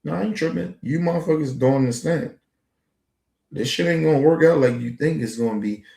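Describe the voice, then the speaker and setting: A man's voice: a little high, fairly quick, vocal fry, mellow, reverberant. A man in his forties talking in a casual, rambling monologue as a podcast host, heavy on street slang and profanity. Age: 40s.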